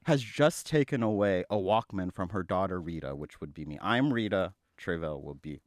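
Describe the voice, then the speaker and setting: A man's voice: low-pitched, lively intonation, moderate pace, dry recording, studio quality. A man hosting a podcast in casual, playful chat, sometimes feeling his way through a sentence. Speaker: podcast host.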